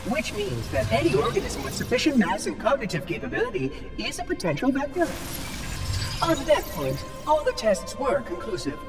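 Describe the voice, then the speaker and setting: An actor voicing a cheerful, formal floating machine monitor in a game cutscene, with a robotic filter. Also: male voice, very high-pitched, huge pitch swings, moderate pace, full character voice. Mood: businesslike. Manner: polite, formal, chirpy and clinical. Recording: game cutscene with music, distant.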